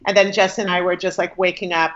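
A woman comedian telling stories in a casual interview, animated and dramatic. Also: on the low side, fast, highs cut off. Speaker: comedian guest. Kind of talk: casual storytelling chat.

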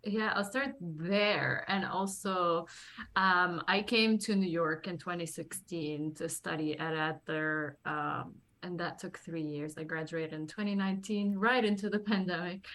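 Lower-voiced woman from Lebanon. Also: steady tone, measured pace, fairly bright tone. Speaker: guest, performing artist and acting teacher. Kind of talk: casual conversational interview.

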